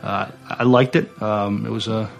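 A man co-hosting a podcast, speaking casually and haltingly, often repeating words as he goes. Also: on the low side, steady tone, vocal fry. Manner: halting, repeats words while searching for phrasing. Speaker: podcast co-host. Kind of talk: casual chat, reading notes aloud.

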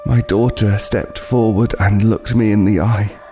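An actor playing a haunted, remorseful homeless killer, narrating a horror audio drama gravely and with anguish. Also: male voice, on the low side, steady tone, measured pace, music in the background, natural voice. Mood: haunted dread.

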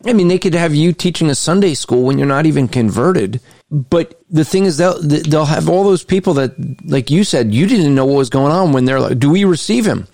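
A man's voice: fairly high pitch, expressive, fairly quick, warm tone, no room sound. A man hosting an interview podcast, talking in an earnest, explanatory way. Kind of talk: conversational interview.

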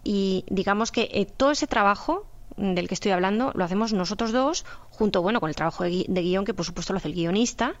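A woman's voice: mid-range voice, a little fast, muffled recording. A woman with an accent from Spain, methodically explaining her work as a radio producer in an interview, step by step. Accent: Spanish from Spain.

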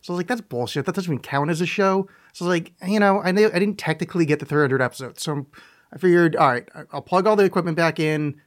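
A man's voice: fairly high pitch, fast. A man hosting a podcast in casual chat, joking and self-deprecating as he rambles. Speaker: podcast host.

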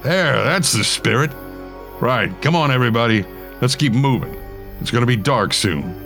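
A man voicing a crude, brisk leader of a search party who talks bluntly and in a hurry. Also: mid-range voice, animated, a bit bright, soft background music. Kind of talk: storytelling.

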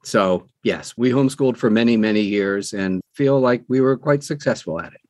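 A man's voice: medium pitch, normal speed, a little mellow, no echo. A man in his sixties talking conversationally as an interview guest, in a folksy way with phrases repeated for emphasis. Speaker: interview guest, audiobook narrator.

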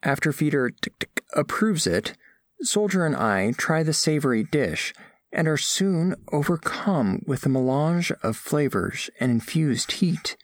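A man's voice: on the high side, very animated, measured pace, dry recording, studio quality. A man voicing an earnest alien scholar diplomat, narrating an audio drama in formal, stilted, precise phrasing. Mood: formal, measured.

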